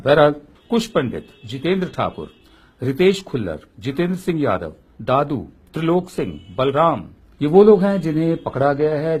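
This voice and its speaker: A male political commentator delivers his opinion with emphatic rhetorical questions. His husky voice swings widely up and down, hammering each point home. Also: mid-range voice, darkish tone.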